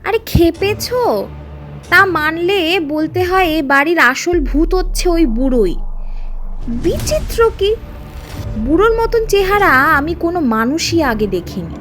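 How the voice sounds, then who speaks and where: A woman's voice: very high voice, big pitch swings, takes it slowly, a bit bright, soft background music, full character voice. A woman voicing a skeptical, incredulous male adventurer in a narrated horror story. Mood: dismissive.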